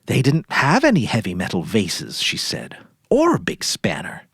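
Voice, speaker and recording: Very animated dramatic story narrator. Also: medium pitch, moderate pace, breathy edge, no room sound, studio quality.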